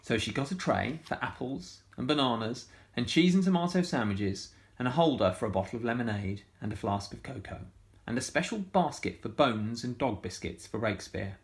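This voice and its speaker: A man reading a picture book aloud to schoolchildren, animated and full of sound effects. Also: medium pitch, normal speed. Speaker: storytime reader for schoolchildren.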